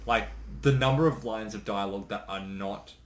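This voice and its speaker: A man co-hosting a casual commentary, easygoing and agreeable with quick asides. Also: fairly low pitch. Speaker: co-host of a creator commentary.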